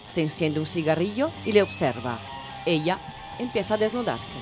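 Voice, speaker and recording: Woman as a lively, expressive storytelling narrator. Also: on the low side, normal speed. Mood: wry narrating. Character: wry, excitable female narrator of a racy tale. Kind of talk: dramatised storytelling narration, commentary-like. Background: music.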